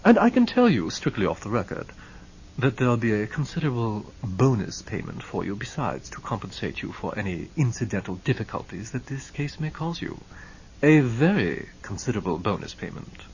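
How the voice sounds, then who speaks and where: A man voicing a composed, measured male lawyer, on a muffled lo-fi recording. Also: on the low side, big pitch swings, darkish tone, slight background noise. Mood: calm. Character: calm, smooth-talking male lawyer.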